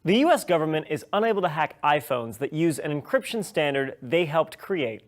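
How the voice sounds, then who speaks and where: A man's voice: a little high, sing-song, normal speed. A man hosting a scripted science explainer in a wry, playful manner. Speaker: science show host.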